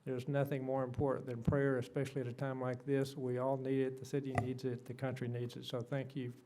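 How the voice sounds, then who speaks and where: A soft-spoken man, the mayor, chairing a city council meeting with easygoing, plain speech and frequent fillers. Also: medium pitch, monotone, a little fast, background noise. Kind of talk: formal meeting chairing, roll call. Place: council chamber.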